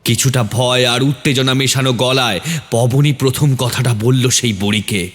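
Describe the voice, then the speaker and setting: A man's voice: mid-range voice, steady tone, moderate pace, fairly bright tone. A man narrating a scripted horror story in a dramatic, suspenseful manner.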